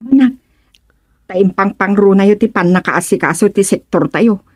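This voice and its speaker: A woman's voice: medium pitch, moderate pace, breathy edge, lightly acted. A woman with an Ilocano accent playing a proud, opinionated village councilwoman in a radio comedy drama. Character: proud, outspoken village councilwoman championing her sector.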